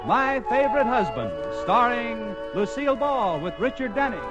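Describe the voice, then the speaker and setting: A man's voice: very high-pitched, sing-song, normal speed. A man delivering scripted narration as the announcer of a 1940s radio sitcom. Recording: muffled old radio, music and audience.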